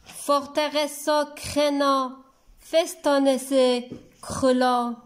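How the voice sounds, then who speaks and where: Female poetry reader for a school lesson: high voice, extremely slow, deliberate phrasing. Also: little pitch movement. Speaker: teacher reading a poem for pupils. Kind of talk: slow poetry recitation for dictation and reading lesson.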